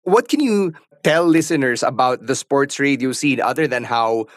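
A man's voice: medium pitch, lively intonation, normal speed, dry recording. Filipino male host in a dry-sounding room. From Philippines. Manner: mixes English and Tagalog, conversational. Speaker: podcast host, interviewer. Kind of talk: casual interview.

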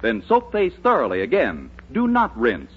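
A man's voice: high voice, wildly swooping pitch, moderate pace, vocal fry, breathy edge. A man announcing and narrating a 1940s radio show in a scripted, old-time broadcast style. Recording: muffled old radio recording with faint noise.

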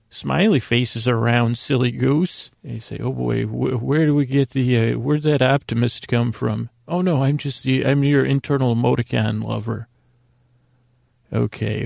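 Very gravelly, meandering male podcast host. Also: mid-range voice, a little mellow, no echo, studio quality. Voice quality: very creaky, gravelly. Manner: meandering, halting, self-interrupting with tangents. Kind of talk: rambling bedtime sleep podcast monologue.

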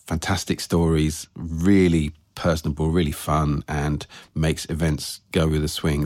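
Deep-voiced man hosting a podcast. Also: darkish tone, dry recording, studio quality. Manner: friendly, asks questions, many fillers. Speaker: podcast host. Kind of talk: casual interview podcast. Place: guest's office.

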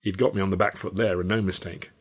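A man's voice: low-pitched, level tone, fast talker, breathy edge. A man with an English accent narrating a first-person story from a script in a wry, literary style. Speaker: author and audiobook narrator.

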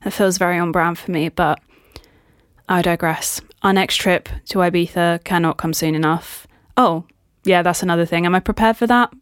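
Low-pitched woman chatting on a podcast. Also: flat delivery, normal speed, dry recording, studio quality. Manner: self-deprecating, playfully dramatic. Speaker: podcast host. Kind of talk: casual chatty solo podcast monologue.

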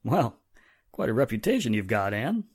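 A man plays an affable, businesslike police inspector for an old-time radio play. His medium-pitched voice has very lively inflection. He sounds confident and in command. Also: normal speed, slightly rough. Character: brisk, affable male police inspector, in charge. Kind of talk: 1940s radio drama acting.

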